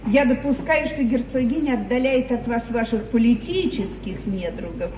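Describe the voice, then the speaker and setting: A woman's voice: a little high, lively intonation, normal speed, echoey room, natural voice. An actress playing a fretful yet imperious queen in a theatrical, declamatory mid-century stage comedy style. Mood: musing. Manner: grand, declamatory, plaintive. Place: large theatre stage, echoing. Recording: muffled, hissy 1950s theatre broadcast, distant.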